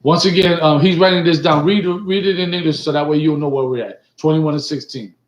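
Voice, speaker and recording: Casting: middle-aged man from Puerto Rico, emphatic class leader, a touch high-voiced, echoey webcam sound. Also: fairly quick. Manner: emphatic, repeats points and asks "right?" often. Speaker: teacher leading a class. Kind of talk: bilingual religious class talk. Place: indoor studio set with printed backdrop. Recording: echoey room.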